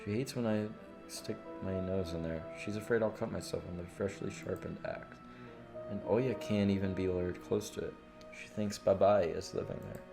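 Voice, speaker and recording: A man's voice: sits low, steady tone, normal speed, creaky. A man livestreaming a game, reading visual novel text aloud and dropping in casual, sweary reactions. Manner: casual, profane asides. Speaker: game streamer. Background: game music with guitar.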